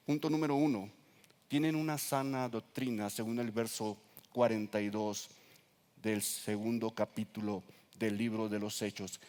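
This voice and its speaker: Mexican pastor preaching in echoing hall. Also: male voice, on the low side, a little mellow. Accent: Mexican Spanish. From Nayarit coast, Mexico. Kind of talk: sermon. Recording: reverberant church hall.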